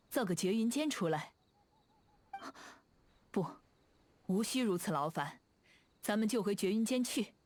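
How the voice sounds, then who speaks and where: A woman's voice: medium pitch, darkish tone, natural voice. A woman voicing a proud, aloof immortal adept in a video game, with a composed, lofty manner. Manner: lofty, archaic self-reference, composed. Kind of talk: game character voice line. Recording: background music behind the voice. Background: music.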